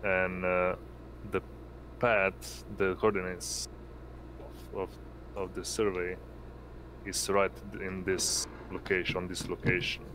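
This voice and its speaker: A man running a tabletop game, narrating off the cuff in a halting way as he searches for words. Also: sits low, level tone, noisy background. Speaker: game master.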